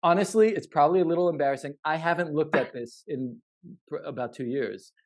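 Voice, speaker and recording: A man co-hosting a podcast in casual, thoughtful chat, halting and repeating words as he thinks. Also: fairly high pitch. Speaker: podcast co-host.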